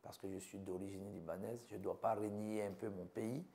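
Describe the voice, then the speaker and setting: A man's voice: on the low side, fairly even intonation, a little fast, vocal fry, mellow. A man in his fifties from Côte d'Ivoire with an Ivorian French accent, giving an earnest personal account in an interview and speaking in short listed phrases. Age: 50s. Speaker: doctor interviewed about his life.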